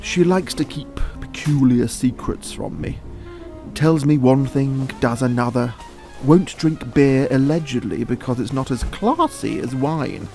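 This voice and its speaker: A man acting a middle-aged father in an audio drama, smooth in manner, provocative and quietly needling. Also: mid-range voice, unhurried, faint music behind, natural voice. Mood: wry, confiding.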